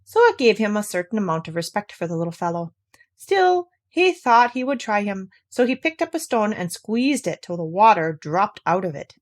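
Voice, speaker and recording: A woman's voice: medium pitch, big pitch swings, moderate pace. A woman narrating a classic fairy tale, reading aloud from the text as a storyteller.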